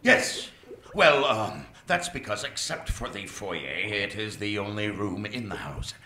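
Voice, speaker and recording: A man playing a scatterbrained, pompous male mad scientist who bursts into excitable outbursts. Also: on the low side, a little slow, roomy sound, slight background noise, exaggerated voice. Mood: explaining. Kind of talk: comic radio play acting.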